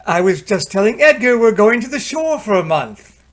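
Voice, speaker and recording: A high-pitched male actor's portrayal of an ailing husband in his fifties, in old-time radio drama style. He is genial and expansive in manner, with a hesitant, theatrical delivery. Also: very animated, moderate pace. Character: ailing, hearty, generous husband of fifty-five.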